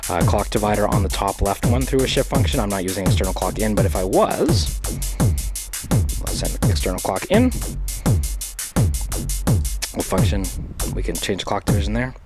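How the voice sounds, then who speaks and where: A man's voice: fairly low pitch, very animated, moderate pace, pauses often. Man with a stuffy, recovering voice. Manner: rambling, filler-heavy, enthusiastic. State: recovering voice after sinus infection. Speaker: synth module reviewer. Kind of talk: casual demo talk. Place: small home studio room. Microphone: headset mic. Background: electronic music from modules.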